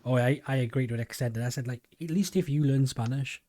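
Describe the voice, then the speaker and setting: A man's voice: mid-range voice, fast, warm tone, a little hiss or hum. A man with a Geordie accent hosting a podcast, chatting casually and often restarting his sentences. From England. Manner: rambling, many restarts. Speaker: podcast host. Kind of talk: casual chat.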